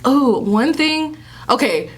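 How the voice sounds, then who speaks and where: A woman's voice: sits high, very animated, slow, slightly rough. A woman, co-hosting a podcast in easygoing, giggly casual chat full of fillers. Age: 20s. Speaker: podcast co-host.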